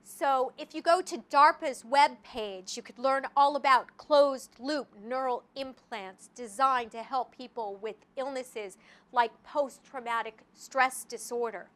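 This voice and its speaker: Woman, guest lecturer, high voice, bright tone, lively, asides, rhetorical questions. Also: a little slow. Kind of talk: academic lecture. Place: lecture hall. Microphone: handheld or podium mic.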